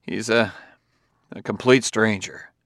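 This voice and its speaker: Guy playing uneasy detective husband in an audiobook, curt, gravelly, low-key. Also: steady tone, slow, a bit bright, dry recording, clean studio sound, exaggerated voice. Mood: uneasy reassurance. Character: terse, uneasy male city detective, husband. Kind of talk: audiobook character voice.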